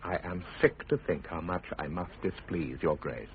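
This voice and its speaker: An actor playing a principled, deferential statesman in a classic radio drama, speaking in a measured, formal and courteous way. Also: low-pitched, a little hiss or hum. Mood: careful, humble. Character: principled, deferential male statesman, grave and careful. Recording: muffled, narrow-band radio broadcast.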